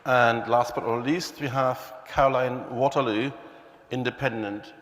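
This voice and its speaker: A man chairing a hustings, introducing candidates and moving the evening along in a brisk, procedural way. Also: mid-range voice, steady tone, noisy background. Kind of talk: event moderation. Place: large church hall. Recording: long church hall reverb.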